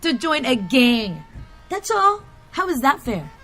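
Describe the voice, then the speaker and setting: An actress playing a defiant, bitter young ghost passenger, brash, slangy and combative in an audio drama. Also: female voice, sits high, sing-song, slow, sharp tone, faint music behind, heavily acted. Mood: bitter, angry.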